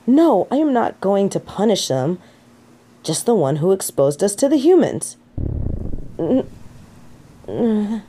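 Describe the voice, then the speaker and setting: A woman's voice: mid-range voice, warm tone, breathy edge, full character voice. A woman playing a snobby, indignant fallen angel in an audio roleplay, with pompous, formal, archaic phrasing and an easily affronted manner. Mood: haughty, curious. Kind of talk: scripted audio roleplay acting.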